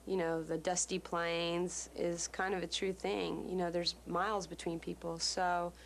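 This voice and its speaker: A woman's voice: fairly low pitch, flat delivery, measured pace, a little hiss or hum. A woman musician in an interview, reflecting in a thoughtful, searching way.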